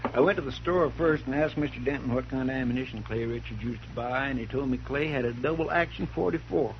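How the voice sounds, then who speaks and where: A man's voice: medium pitch, brisk, close to an everyday voice. Loyal deputy, male actor, folksy drawl, respectful, muffled old radio, faint hiss. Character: loyal deputy, plain-spoken and earnest. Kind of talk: 1950s radio acting.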